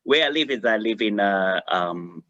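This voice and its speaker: Man with a Malaysian accent, low and bright, hesitant delivery, muffled dry recording. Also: expressive, moderate pace, dry recording. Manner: hesitant, many fillers, gentle. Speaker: life coach, panelist. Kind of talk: self-introduction.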